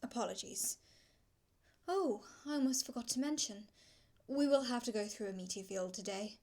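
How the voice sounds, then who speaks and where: A woman's voice: on the high side, darkish tone. A woman playing a polite, teasing artificial intelligence aboard a ship, speaking in formal, precise phrases in an audio drama. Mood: helpful, dry. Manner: formal, measured, precise wording. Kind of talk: scripted audio drama acting.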